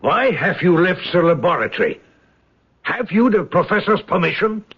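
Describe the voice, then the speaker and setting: An actor playing a stern, deferential henchman in a radio drama, gravelly and clipped. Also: high-pitched, sing-song, moderate pace, heavily acted. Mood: stern, urgent. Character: stern, deferential male German henchman to a professor. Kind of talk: 1970s radio drama acting. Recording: dull, lo-fi old radio broadcast.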